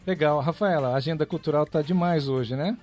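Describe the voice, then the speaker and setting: A male radio host with a Brazilian accent and a voice a little on the high side. He talks conversationally as he presents the show, with music playing underneath. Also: a little fast, a little mellow.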